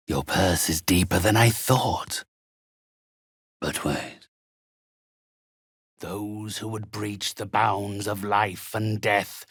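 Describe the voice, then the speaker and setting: An actor playing a haughty, theatrical undead necromancer in a video game, speaking with pompous, condescending, ornate phrasing. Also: male voice, lowish voice, a bit bright, slightly rough, no echo, clean studio sound, exaggerated voice. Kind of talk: video game character acting.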